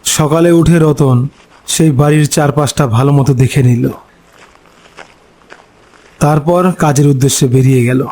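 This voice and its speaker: A man narrating a scripted horror story in a dramatic, suspenseful way, pausing between short phrases. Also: medium pitch, mellow, slight background noise. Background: background music.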